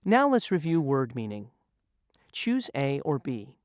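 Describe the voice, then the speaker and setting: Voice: medium pitch, wildly swooping pitch, a little slow, darkish tone, no room sound, clean studio sound. A reader posing review questions in a vocabulary lesson, then giving and explaining the answers. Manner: poses a choice, then confirms the right answer. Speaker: quiz reader. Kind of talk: lesson quiz narration.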